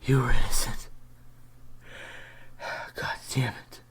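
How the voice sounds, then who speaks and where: A man playing a pained, cornered hired thug under interrogation in an audio drama, husky, halting and reluctant. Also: mid-range voice, fairly even intonation. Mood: despairing. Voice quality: breathy, gravelly, husky. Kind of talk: audio roleplay acting.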